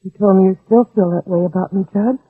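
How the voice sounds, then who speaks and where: A woman's voice: medium pitch, fast, no room sound, narrow, tinny audio, close to an everyday voice. An actress playing a brave, protective mother in a 1960s radio drama, pleading earnestly and persuasively with an armed man. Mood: tender, earnest. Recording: old band-limited radio recording, husky, dry.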